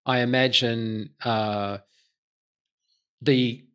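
A man's voice: mid-range voice, steady tone, extremely slow, fairly bright tone. A man hosting a podcast interview in a casual, informal way, thinking aloud and rephrasing his questions as he goes.

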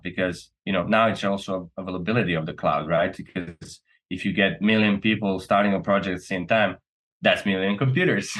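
A man's voice: fairly low pitch, fairly bright tone. A man from Serbia talking casually and earnestly as a company co-founder being interviewed, often checking in with "right?".

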